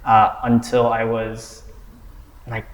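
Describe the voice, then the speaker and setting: Young guy, mid-pitched voice, thinks out loud carefully, echoey room. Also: monotone. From United States. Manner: thoughtful, measured explaining. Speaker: discussion participant. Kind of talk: casual discussion. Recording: roomy echo.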